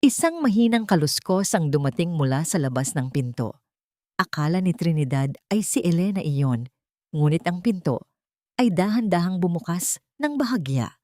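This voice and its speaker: Low-pitched woman narrating a drama audiobook. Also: very animated, moderate pace, a little mellow, no room sound, clean studio sound. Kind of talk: dramatic scripted story narration.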